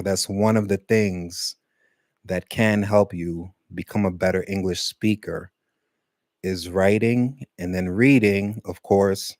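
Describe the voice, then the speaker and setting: A man teaching English to adult learners in a loose, rambling talk, full of fillers and slowing down to stress key points. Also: deep voice, a little mellow, no room sound, clean studio sound. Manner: conversational, many fillers, speeds up and slows for emphasis. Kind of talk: casual rambling lesson talk.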